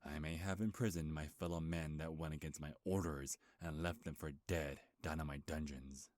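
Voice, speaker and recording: A man's voice: extremely low pitch, steady tone, normal speed, a little mellow, dry recording. A man playing an ancient, bitter, grieving beast king in a dramatic ASMR roleplay, brooding and menacing with heavy sighs. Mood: grieving, regretful.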